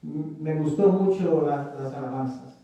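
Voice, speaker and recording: High-voiced man interpreting in echoey church. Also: warm tone, highs cut off. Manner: halting, with fillers and restarts while interpreting. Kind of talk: live sermon interpretation. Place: church sanctuary. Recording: echoey church hall from far off.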